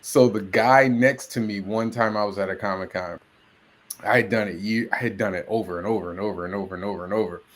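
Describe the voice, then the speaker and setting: A man hosting a podcast in a loud, carrying voice. He rambles chattily, full of fillers, and keeps the talk light. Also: lowish voice, fairly even intonation, quick pace. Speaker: podcast host. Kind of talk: casual podcast chat.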